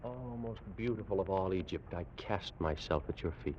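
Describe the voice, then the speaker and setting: Fervent ancient priest of science, very low, formal radio-drama delivery, dull vintage sound. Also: male voice, normal speed, slightly rough. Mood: adoring. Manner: grave, formal, theatrical delivery. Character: proud, fervent ancient Egyptian priest and alchemist. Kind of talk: 1940s radio drama acting. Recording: muffled old-time radio recording.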